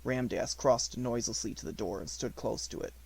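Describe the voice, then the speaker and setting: A man's voice: mid-range voice, brisk, vocal fry, slightly rough. A man reading a classic children's novel aloud as scripted audiobook narration. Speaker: audiobook reader.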